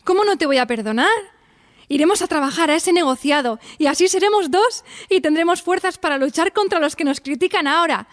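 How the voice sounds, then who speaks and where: An actress plays an earnest young woman in a radio drama. Her voice is clearly high, and she speaks with determination in a theatrical style. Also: animated, a little fast. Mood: eager.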